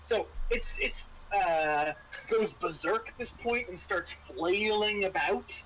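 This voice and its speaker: A man acting as game master, explaining a tabletop game casually in a halting way. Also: sits high, a bit bright. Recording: clearly noisy room recording.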